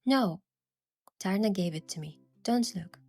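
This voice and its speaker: Playful young romance heroine, music behind. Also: female voice, medium pitch, lively intonation, unhurried, warm tone, breathy edge. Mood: flustered. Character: playful, affectionate young heroine narrating her own romance. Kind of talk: romance game narration and dialogue.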